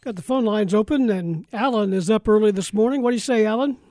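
A man's voice: high-pitched, quick pace, mellow, no room sound. A man with an American accent hosting a sports talk radio show. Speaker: radio host.

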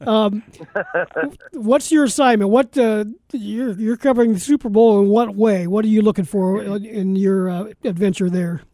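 A man's voice: high-pitched, steady tone, moderate pace, warm tone, no room sound. A man hosting a sports talk radio show in a casual, conversational way. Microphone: studio broadcast microphone.

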